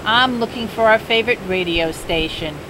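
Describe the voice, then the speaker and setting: A woman's voice: medium pitch, normal speed, close to an everyday voice. An older woman voicing a busy, cheerful father at the beach who turns down requests firmly. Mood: emphatic. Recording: steady outdoor noise.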